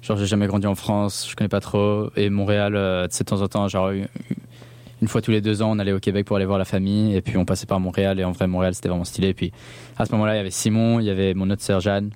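Low-pitched young man, casual and slangy. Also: level tone, fast talker, darkish tone, smooth, dry recording. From born in northern England, grew up in Belgium. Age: young adult. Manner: informal, slangy speech with English words mixed in. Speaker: interview guest. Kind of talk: casual interview chat.

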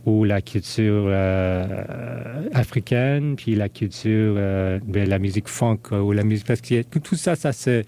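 Hesitant low-voiced man, mellow tone. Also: unhurried, hardly any pauses. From Canada. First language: English. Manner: hesitant, many fillers and restarts. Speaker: DJ guest. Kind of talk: interview conversation.

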